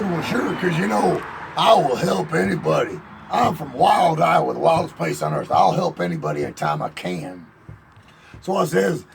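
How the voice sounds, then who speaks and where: A man's voice: a little high, creaky, slight background noise. A man telling a rambling, boastful tall tale in a folksy drawl, as if chatting on a porch. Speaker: storyteller in character. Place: outdoors on a porch.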